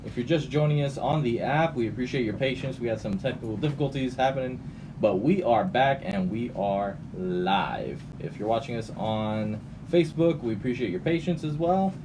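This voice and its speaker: A man's voice: medium pitch, animated, normal speed. A man hosting a radio show with casual, jokey and teasing banter. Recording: noisy room, distant mic.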